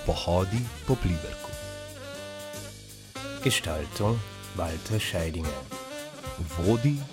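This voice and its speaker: A man announces a radio program in a low, measured, formal voice. Loud jazz plays beneath him. He reads steadily, like a scripted opening. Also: lively intonation. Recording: voice over loud jazz music. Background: jazz music.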